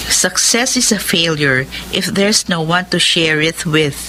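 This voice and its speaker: An actress playing a steadfast, loving heroine in a Filipino romantic radio drama, earnest and sentimental, with a Filipino accent. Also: female voice, on the low side, animated, slow pace, bright, forceful tone, a little hiss or hum, heavily acted, poor audio.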